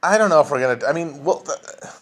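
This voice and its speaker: A man's voice: a little high, lively intonation, brisk. Rambling, profane man chatting. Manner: rambling, profane, lots of restarts and trailing off. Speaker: podcast host answering chat. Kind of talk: casual livestream chat. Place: home, alone at a phone or computer.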